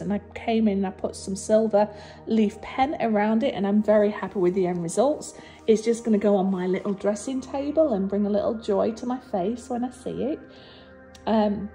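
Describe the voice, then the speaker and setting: A woman with a slightly husky voice, low on energy after surgery, chatting through a voice-over for her resin craft video with fillers and restarts. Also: warm tone. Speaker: resin artist and channel host.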